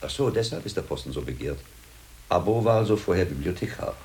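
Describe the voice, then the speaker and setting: Shrewd scholarly monk-detective for radio drama: very low, gravelly, pondering delivery, faint hiss behind. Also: male voice, expressive, darkish tone, rough recording. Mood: probing. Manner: deliberate, pondering, probing. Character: learned, shrewd older monk, sharp-minded master and investigator. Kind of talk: German radio drama dialogue.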